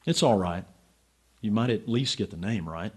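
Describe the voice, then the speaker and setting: An actor playing a naive, stubborn, wry amateur sleuth in a radio drama. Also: male voice, lowish voice, normal speed, a little mellow, slightly rough, dry recording. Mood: gentle, wry.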